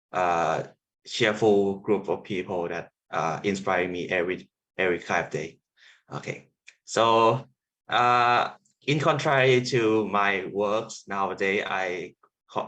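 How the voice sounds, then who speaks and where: A young man from Thailand with a Thai accent, giving an online presentation as a sound artist, halting with many fillers and restarts. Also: fairly low pitch, fairly bright tone.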